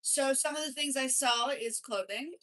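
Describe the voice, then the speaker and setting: A woman's voice: high voice, moderate pace, a bit bright. A woman in her forties talks casually to her viewers from a home studio. Her talk is chatty and rambling, full of asides and self-corrections. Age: 40s. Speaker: channel host. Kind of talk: casual chat to camera. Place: home studio with music playing. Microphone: swapped desk mic, then lavalier.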